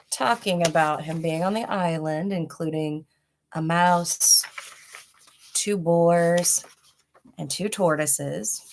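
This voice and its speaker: A woman reading a children's storybook aloud with deliberate, dramatic phrasing and casual asides. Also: fairly low pitch, a little hiss or hum. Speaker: storybook reader, host of a read-aloud library.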